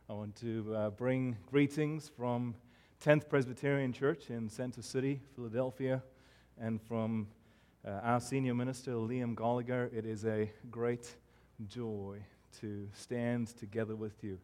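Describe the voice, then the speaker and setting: A South African man in his forties delivers a church sermon. His accent blends South African and American sounds. He talks earnestly from the pulpit, pausing on "uh" now and then, at a middling pitch for a man. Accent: South African mixed with American. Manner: warm, earnest, self-deprecating pulpit delivery with frequent fillers. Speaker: guest preacher.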